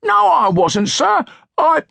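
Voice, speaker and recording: A man's voice: extremely high pitch, very animated, slow, vocal fry, sharp tone, dry recording, pitched above the performer's own voice, full character voice. A man voicing a well-fed bully of a street urchin boy, cowed and whining his protests, in an audiobook. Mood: squawking protest.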